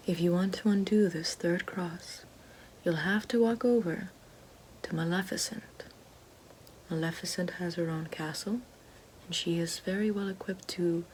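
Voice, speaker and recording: A woman playing a regal, menacing evil queen witch in an ASMR fantasy roleplay, speaking slowly and formally in a soft, breathy, often whispered voice. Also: fairly low pitch, slight background noise, close to an everyday voice, rough recording. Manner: slow, deliberate, formal.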